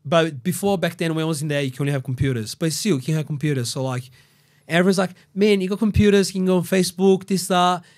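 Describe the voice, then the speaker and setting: Male guest, 40s, lively slangy storyteller, studio podcast mic. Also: a little high, fast talker. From Brazil. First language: Portuguese. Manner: animated, slangy, restarts and repeats words. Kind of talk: casual interview storytelling. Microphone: studio mic on boom arm.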